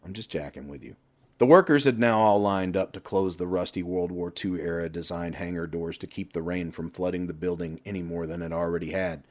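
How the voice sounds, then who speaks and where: A man's voice: sits low, fairly even intonation, a little fast, few pauses, darkish tone. A man reading a scripted story aloud as an audiobook narrator.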